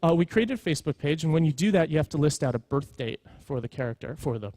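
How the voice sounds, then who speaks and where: Man giving a talk, lighter-pitched mellow voice. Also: lively intonation, fast talker, no echo. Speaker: conference speaker, creative executive. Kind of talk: conference presentation, conversational storytelling.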